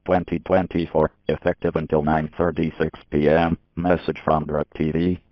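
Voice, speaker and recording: A man reading a scripted emergency warning as an alert announcer, in a stiff, formal, deliberate manner. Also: deep voice. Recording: dull lo-fi broadcast audio.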